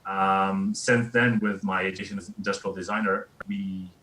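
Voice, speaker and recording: A man with an Israeli accent answering interview questions as a remote guest, speaking somewhat haltingly. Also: low-pitched, level tone, pushed and bright, slight background noise, poor audio. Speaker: interview guest, industrial designer.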